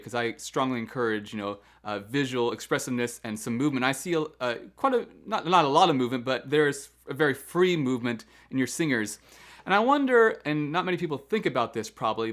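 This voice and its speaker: A man's voice: medium pitch, a bit bright. Man hosting a choral interview. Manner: eager, asks long rambling questions. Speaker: podcast host and music educator. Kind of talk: interview questions.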